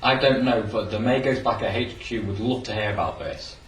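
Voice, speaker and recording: Military space pilot male actor, sci-fi radio play: dutiful, brisk, mid-pitched, roomy sound with loud music behind. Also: steady tone, close to an everyday voice. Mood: tense. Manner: obedient, brisk military replies. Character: dutiful spaceship pilot serving under a captain. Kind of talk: science fiction radio play acting. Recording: echoey, distant, under loud music.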